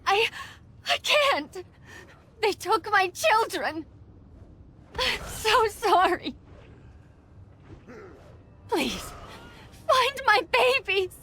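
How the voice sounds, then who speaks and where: Shrill, sobbing captive mother. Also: very animated, extremely slow, long pauses, pushed and bright, full character voice. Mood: desperate, grieving. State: crying. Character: distraught, pleading mother held captive. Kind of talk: video game acting.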